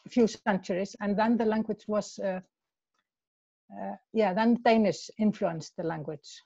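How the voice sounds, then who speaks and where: A woman from Iceland with an Icelandic accent, speaking in a casual discussion over a video call, hesitant, repeating words and laughing softly. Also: mid-range voice, fairly even intonation, unhurried, dry recording, highs cut off. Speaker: class participant.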